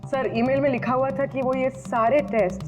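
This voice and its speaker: An actress playing a firm, businesslike police investigator with a direct manner in a TV crime drama. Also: female voice, sits high, normal speed, over music, natural voice.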